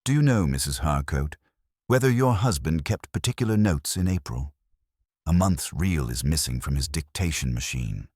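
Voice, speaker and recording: Man reading as a very deep, measured police inspector. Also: expressive, slightly rough, no room sound, studio quality, exaggerated voice. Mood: grave, probing. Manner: measured, aphoristic, quietly grave. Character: calm, shrewd, philosophical male police inspector. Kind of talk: detective audiobook character reading.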